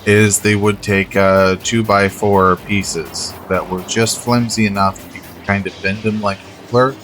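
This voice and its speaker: A man chats casually on a livestream in a slightly low voice. He is laid-back and matter-of-fact, and swears freely. There is faint music in the background. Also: little pitch movement, a little slow, fairly bright tone.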